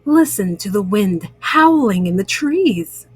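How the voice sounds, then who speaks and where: A woman's voice: mid-range voice, sing-song, takes it slowly, husky, voice pushed down, heavily acted. A woman voicing a playful, teasing male frog who tells spooky tales in a children's storybook. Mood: eager.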